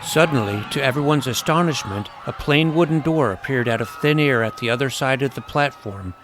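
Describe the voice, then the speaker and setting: A man playing an earnest young hero who tells his own fantasy adventure in measured, literary first-person narration. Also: mid-range voice, background noise, lightly acted. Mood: tense storytelling. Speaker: narrator and lead character. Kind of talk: first-person scripted storytelling, audio drama. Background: music under the voice.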